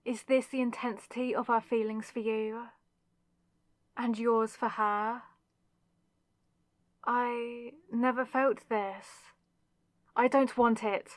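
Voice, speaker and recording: A woman playing a possessive, lovesick demonic villainess in a dramatic ASMR audio roleplay, speaking with intense, deliberate pauses. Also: on the high side, flat delivery, no echo. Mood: conflicted.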